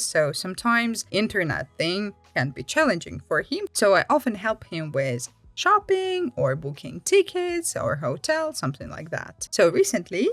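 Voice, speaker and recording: A woman's voice: mid-range voice, fairly bright tone, no echo. A woman narrating online stories aloud as a host, in a storytime style. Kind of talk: storytime read-aloud with personal commentary. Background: faint music.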